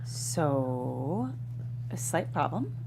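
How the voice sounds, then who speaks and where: A woman's voice: deep voice, sing-song, extremely slow, vocal fry, exaggerated voice. A woman playing an ambitious, scheming young political intern in a comedy table read, earnest, emphatic and urgent. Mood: determined. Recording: noisy room with hum.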